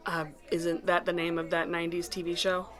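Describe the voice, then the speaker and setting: A woman's voice: lowish voice, monotone, brisk, fairly bright tone, close to an everyday voice. A woman playing a questioning wife searching for her missing husband in an audio drama. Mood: curious, indignant.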